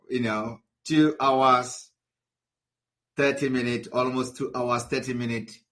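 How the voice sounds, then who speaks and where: A man's voice: medium pitch, sharp tone, low-quality recording. A man, addressing his followers in a livestream and switching between English and Igbo. He talks in a combative way and often stumbles over repeated words and fillers.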